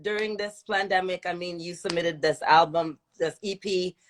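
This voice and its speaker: A woman with a slightly low voice hosts a casual live interview. She is affirming and encouraging toward her guest and tends to say a phrase twice as she builds a question. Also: fairly even intonation, moderate pace. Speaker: host, interviewer.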